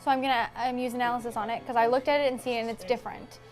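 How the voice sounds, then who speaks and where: High-voiced woman playing a tabletop game. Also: fairly even intonation, racing, faint music behind. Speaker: role-playing game player. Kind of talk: casual tabletop game chat.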